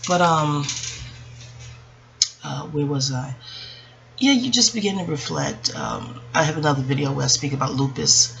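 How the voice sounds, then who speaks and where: Creaky-voiced hushed woman, casual vlog. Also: low-pitched, sing-song, moderate pace, pauses often. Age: 40s. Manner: hushed, keeping voice down so as not to wake others; hesitant, digressive. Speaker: video channel host. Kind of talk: rambling reflective casual chat to viewers. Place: at home, quiet dark room. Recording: dull lo-fi audio with faint background noise.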